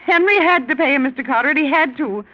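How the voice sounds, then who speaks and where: A woman's voice: high voice, fast, lightly acted. An actress playing an earnest, protective young woman in love, in 1940s radio drama style. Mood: insistent. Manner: earnest, insistent. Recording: muffled old 1940s radio recording.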